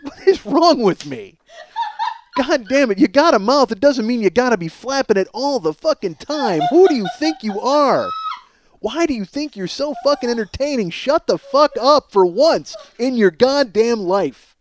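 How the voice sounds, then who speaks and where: A man's voice: very high voice, sing-song, moderate pace, noisy background. A man hosting a comedy podcast in a rambling, self-mocking monologue, ranting with profane exasperation.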